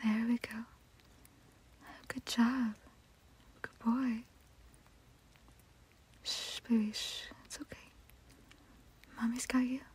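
A woman speaking in a soft, breathy whisper in an intimate roleplay style, slow and soothing. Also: a little high, level tone.

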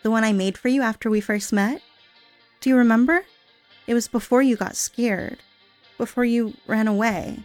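A clingy, dangerously unstable young woman coos in a breathy, sing-song voice that sits a little high, as a woman acts her out. Her sweetness keeps sliding into menace, with faint music playing behind her. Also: moderate pace, darkish tone, no room sound, close to an everyday voice. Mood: sweet creepy. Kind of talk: audio roleplay monologue.

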